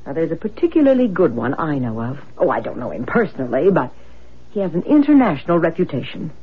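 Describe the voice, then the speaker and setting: A woman's voice: low voice, very animated, fast talker, breathy edge, exaggerated voice. A woman playing an earnest, insistent mother-in-law fascinated by spirits, in vintage radio drama style. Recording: muffled lo-fi old radio broadcast.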